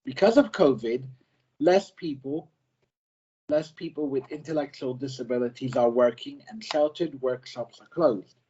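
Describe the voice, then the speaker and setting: A man, a self-advocate working as an easy-to-read editor, giving a prepared presentation in short simple sentences, slow and careful, with some restarts. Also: medium pitch, dark tone, slightly rough, highs cut off. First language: not French; French is a second language.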